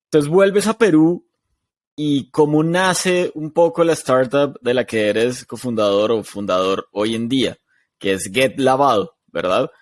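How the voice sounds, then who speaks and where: A man's voice: mid-range voice, unhurried, no room sound, clean studio sound. A man hosting a podcast, introducing his guest and asking questions in an upbeat, encouraging way. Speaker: podcast host.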